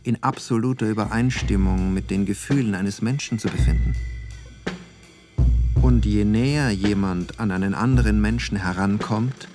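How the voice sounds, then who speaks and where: A male actor playing a reflective photographer, giving a measured, contemplative literary monologue in an audio play. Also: lowish voice, over music, natural voice.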